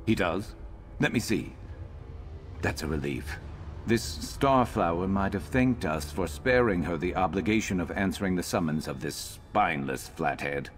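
An actor playing a gruff, vengeful lizard-man companion in a video game, wry but earnest, speaking in a measured, brooding way. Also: low voice, lively intonation, slight background noise. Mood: dry, wry. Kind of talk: video game character dialogue.

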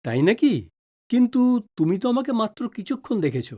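Middle aged dad in a radio play, fatherly n advising, gently teasing, really expressive pitch. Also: brisk. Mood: flustered, polite. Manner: avuncular, advising. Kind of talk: audio drama acting.

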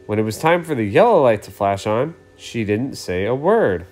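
A man in his thirties talks to children in simple, explanatory phrases and reads a storybook aloud. His pitch is mid-range, with faint music. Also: very animated, normal speed, darkish tone. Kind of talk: casual introduction and storybook read-aloud.

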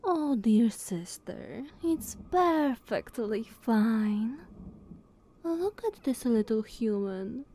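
A woman playing a playful, teasing younger vampire sister in ASMR roleplay, sultry and breathy, often near a whisper, coy and purring. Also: fairly high pitch, expressive, very slow, slight background noise. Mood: sultry, affectionate. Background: soft ambience.

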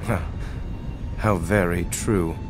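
An actor playing a cold, menacing villain in a video game, with a taunting, ominous manner. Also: male voice, deep voice, steady tone, takes it slowly, over music. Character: cold, menacing young villain obsessed with darkness.

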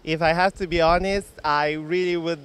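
A man's voice: fairly high pitch, fairly even intonation, moderate pace, a bit bright, clean tone. A man from Italy speaking frankly and casually in a street interview. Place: city street outdoors.

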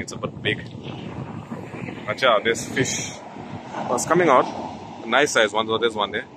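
A middle-aged man with a South African accent talks in a relaxed, rambling way, full of fillers, as he fishes from the shore. His voice sits a little low. He is recorded on a handheld camera mic on an open beach, with clear surf and wind noise behind him. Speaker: fishing vlogger. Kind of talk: casual vlog narration. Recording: windy beach with loud surf.

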